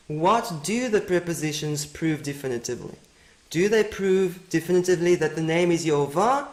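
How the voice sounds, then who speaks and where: A man whose first language is Afrikaans, giving a methodical teaching presentation and repeating his key points. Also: a little high. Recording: roomy echo.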